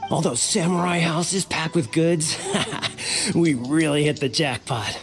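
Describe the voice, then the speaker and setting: An actor playing a whiny, gleeful bandit henchman in a video game, with an excitable, fawning manner. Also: on the high side, measured pace. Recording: game audio under music.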